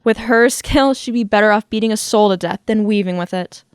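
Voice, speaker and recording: A woman's voice: medium pitch, brisk, clear, resonant tone, dry recording, clean studio sound, natural voice. A woman playing a proud, defiant mortal weaver in a comedic audio drama, curt, haughty and boastful. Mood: boastful defiance.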